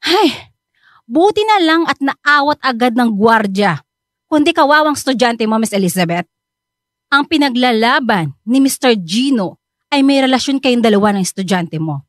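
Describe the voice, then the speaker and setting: A woman's voice: fairly high pitch, very animated, moderate pace, no echo, clean studio sound. A woman playing a stern, disappointed school principal in a radio melodrama, formal and reproachful. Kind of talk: melodramatic radio drama acting.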